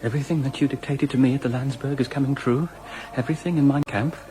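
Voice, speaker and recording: An actor playing a zealous, devoted deputy in a radio drama, earnest and reverent. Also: male voice, mid-range voice, steady tone, quick pace, mellow, natural voice, rough recording. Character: zealous, devoted male deputy reporting to his leader. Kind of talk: dramatic radio play acting.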